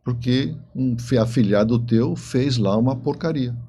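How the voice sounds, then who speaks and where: A man's voice: medium pitch, a little slow, dark tone, a little hiss or hum. A man speaking as an interview guest, telling stories conversationally and repeating phrases for emphasis. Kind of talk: conversational storytelling.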